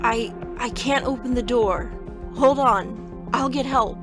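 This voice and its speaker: A woman's voice: fairly high pitch, slow pace, over loud music. A woman playing an earnest, frightened doctor in an audio drama. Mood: flustered.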